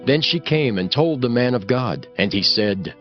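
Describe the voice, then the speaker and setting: A man's voice: medium pitch, expressive, moderate pace, dull audio, faint music behind. A man narrating a scripture audiobook in a formal, solemn storytelling manner.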